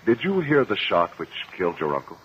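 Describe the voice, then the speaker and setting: Calm, probing radio-drama attorney. Formal, very varied pitch, muffled vintage sound. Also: male voice, a little fast. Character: calm, methodical male lawyer questioning witnesses in court. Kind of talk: 1950 radio courtroom drama acting. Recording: muffled, hissy old radio recording.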